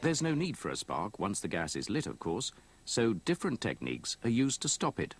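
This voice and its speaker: A man reads scripted instructional narration in a measured, methodical, explanatory way, copied from an old VHS tape. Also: lowish voice, wildly swooping pitch, a little hiss or hum. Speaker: training film narrator.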